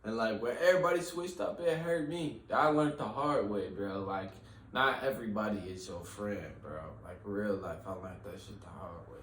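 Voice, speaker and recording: A man's voice: lowish voice, moderate pace, fairly bright tone. A man in his early twenties chatting casually as a podcast host, peppering his talk with slang and "bro". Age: 20s. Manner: slangy, says bro constantly. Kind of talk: casual chat. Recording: echoey room from far off with faint hiss.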